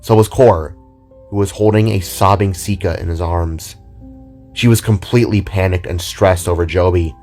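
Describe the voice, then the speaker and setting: A male narrator reads a scripted audio drama. His voice sits on the low side, and soft music plays behind him. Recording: music under the voice.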